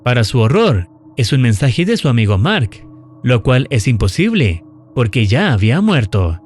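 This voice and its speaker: Lively-pitched man narrating horror recaps. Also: moderate pace, soft background music.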